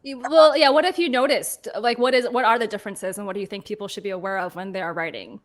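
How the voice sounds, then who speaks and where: A woman's voice: mid-range voice, fast. A woman moderating a casual panel over a video call, with a friendly, informal way of asking questions.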